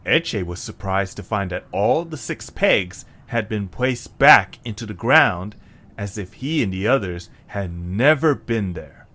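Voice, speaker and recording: A man narrating an adventure tale as a calm storyteller in scripted audio drama style. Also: on the low side, unhurried, voice pushed down. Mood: measured storytelling. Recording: faint background noise.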